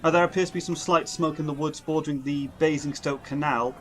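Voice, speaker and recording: A man's voice: fairly high pitch, fairly even intonation, fairly quick, lightly acted. A man playing a confident army signal captain reporting live from the field, in a 1930s-style radio drama. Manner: assured, then rising to alarm as he reports from the front.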